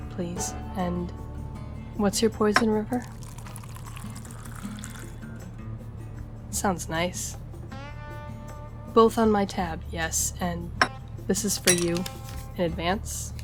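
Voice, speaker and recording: A woman's voice: mid-range voice, steady tone, takes it slowly, long pauses, close to an everyday voice. A woman playing a charming, flirtatious gambler and stowaway in an intimate audio roleplay, teasing and conversational as she speaks to the listener. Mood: relaxed. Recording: music behind the voice.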